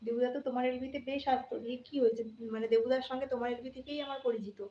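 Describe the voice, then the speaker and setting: A woman's voice: a little high, fairly even intonation, racing. A woman chats casually as a live stream host, addressing viewers directly and often repeating her phrases. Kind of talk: casual live chat, greeting viewers by name. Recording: dull lo-fi, roomy echo, faint noise.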